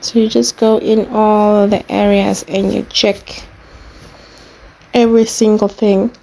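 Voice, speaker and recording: Namibian woman with a creaky voice. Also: mid-range voice, level tone, slow, mellow, rough recording. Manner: plain-spoken, explanatory, step by step. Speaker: YouTuber giving a how-to tutorial. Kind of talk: informal screen walkthrough, casual instruction.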